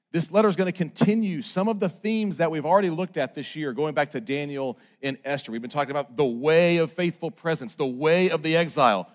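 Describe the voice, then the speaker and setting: A man preaching a conversational sermon, chatty and self-mocking, checking in with the congregation as his voice swings from loud and emphatic to low and soft. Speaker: pastor.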